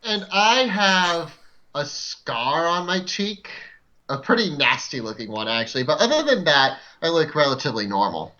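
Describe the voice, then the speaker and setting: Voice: mid-range voice, very animated, normal speed, pushed and bright. A tabletop game player casually describing a character, over dull lo-fi audio.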